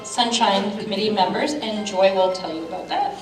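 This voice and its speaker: A woman running a club business meeting, moving briskly through agenda items. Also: medium pitch, expressive, music in the background. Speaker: meeting chair. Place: meeting hall. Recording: echoey hall, voice from far off, background noise.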